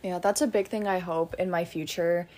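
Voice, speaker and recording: A woman's voice: fairly low pitch, fairly even intonation, normal speed, darkish tone. Young American woman, casual and informal. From United States. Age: young adult. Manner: informal, peppered with "like". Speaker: podcast co-host. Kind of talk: casual chat.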